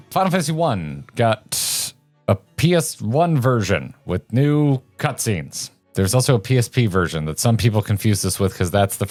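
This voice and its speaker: A man co-hosting a video game show, talking casually in an animated, rambling and opinionated way. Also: on the low side. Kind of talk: casual chat and commentary.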